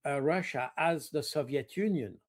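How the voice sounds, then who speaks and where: A man giving political analysis as an interview guest, in a halting, deliberate way. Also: on the high side, a crowd in the background.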